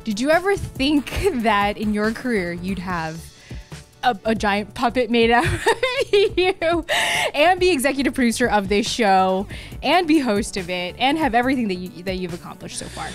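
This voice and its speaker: Lady interviewer with a highish voice, teasing and chatty, light music behind. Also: expressive, moderate pace. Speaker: entertainment interviewer. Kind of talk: casual playful interview.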